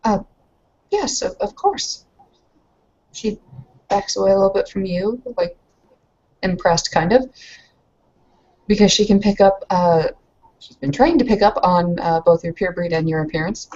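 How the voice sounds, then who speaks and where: A woman of average pitch speaks in casual tabletop roleplay. Her phrasing is hesitant and broken, and the sound is a little muffled. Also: measured pace, long pauses, darkish tone. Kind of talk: casual in-character roleplay and narration. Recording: dull lo-fi voice recording.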